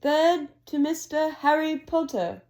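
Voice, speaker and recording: A woman reading aloud as an old, wise male headmaster, speaking in a calm, measured, formal way as he announces to a hall. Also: extremely high pitch, voice pushed up, heavily acted. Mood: formal, kindly.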